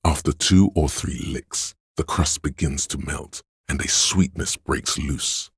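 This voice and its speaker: A man's voice: a bass voice, slow pace, slightly rough, no room sound, clean studio sound, full character voice. A man voicing the seductive inner voice of addiction in a video game, goading and coaxing as he savours his words. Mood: savouring, seductive. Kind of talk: scripted video game voice acting.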